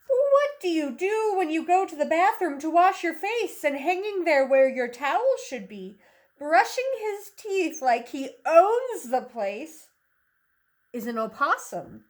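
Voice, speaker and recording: A woman teacher reading a picture book aloud to young children in an animated, instructive way, asking questions as she goes. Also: very high-pitched. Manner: instructive, questioning, slow deliberate phrasing.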